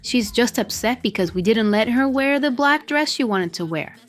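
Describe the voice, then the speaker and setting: A woman's voice: a little high, animated, moderate pace, lightly acted. A woman playing an exasperated middle-aged mother, scolding and coaxing in an audio drama. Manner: scolding, pleading. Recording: music behind the voice.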